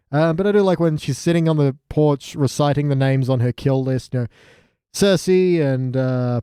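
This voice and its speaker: A man's voice: a little high, moderate pace, dark tone, clean tone, no echo, clean studio sound. A man co-hosting a podcast in a casual, sweary chat, full of joking asides and mock quotes. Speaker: podcast co-host. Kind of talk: casual chat recapping a TV show.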